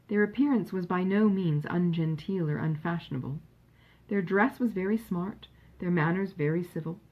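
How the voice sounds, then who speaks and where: A woman reading a classic novel aloud as an audiobook narrator, in an expressive, measured storytelling manner. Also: medium pitch, breathy edge, dull audio.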